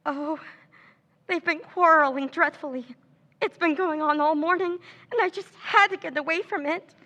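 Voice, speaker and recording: Scheming woman acting all nervous, actress playing her, stammery, high bright voice. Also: normal speed, highs cut off. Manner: stammering, hesitant, breathy pleading. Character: scheming woman Pamela feigning nervous distress. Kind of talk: 1940s radio acting.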